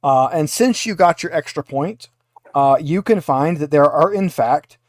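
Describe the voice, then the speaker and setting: A man with a fairly high voice runs a tabletop roleplaying game as game master. He improvises narration in a wry, conversational way, and the recording is dry and close. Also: a little slow, no echo.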